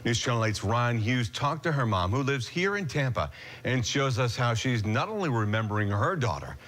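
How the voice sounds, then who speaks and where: A man's voice: medium pitch, sing-song, moderate pace, a bit bright. An American man working as a morning news anchor, moving between scripted news reading and casual banter.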